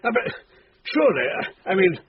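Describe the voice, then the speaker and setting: An actor playing a pompous, dithering English police chief with a raspy voice in a radio drama. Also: male voice, high-pitched, sing-song, brisk, slight background noise. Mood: fussy, surprised. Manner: fussy, hesitant, pompous. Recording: dull lo-fi radio recording.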